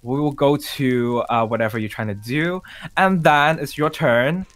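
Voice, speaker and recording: A man playing a smug, falsely reassuring evil young man from the future in improvised game roleplay, speaking haltingly. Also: medium pitch, fairly bright tone, close to an everyday voice. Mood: smooth, evasive. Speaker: roleplay actor. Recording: background music under voice.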